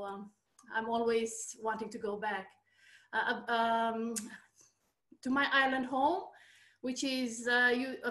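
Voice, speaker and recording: A woman's voice: fairly high pitch, bright, forceful tone. A woman in her fifties with a Fijian accent, sharing a personal story hesitantly in an informal online talk. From Fiji. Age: 50s. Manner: hesitant, halting, frequent pauses. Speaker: guest speaker, architecture researcher. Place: small indoor room at home. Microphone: computer mic on video call. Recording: roomy, echoey video-call sound.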